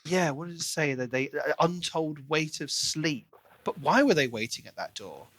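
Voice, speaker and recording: A man co-hosting a podcast, chatting casually and enthusiastically about a book in an expressive way. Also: fairly high pitch, moderate pace, no echo. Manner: enthusiastic, expressive, acts out quoted lines. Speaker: podcast co-host.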